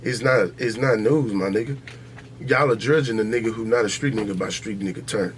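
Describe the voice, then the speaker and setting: A man's voice: on the low side, fairly quick, a little hiss or hum. An American man hosting a podcast, talking casually and emphatically with heavy slang and swearing, repeating his points. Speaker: podcast host. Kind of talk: casual opinionated podcast talk.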